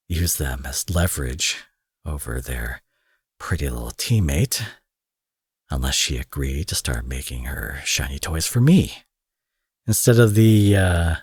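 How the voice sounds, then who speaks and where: A man playing a protective, possessive supervillain in an intimate audio roleplay, husky and theatrical, moving between forceful speech and breathy murmurs. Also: rumbling low, dry recording, clean studio sound, heavily acted. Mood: menacing, musing. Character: smooth protective male supervillain courting his captive rival.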